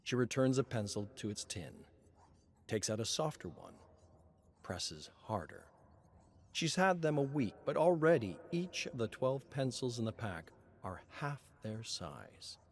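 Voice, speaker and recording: Guy narrating a dramatic story, slow n measured, lots of pitch movement, suspenseful, some noise behind. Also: big pitch swings.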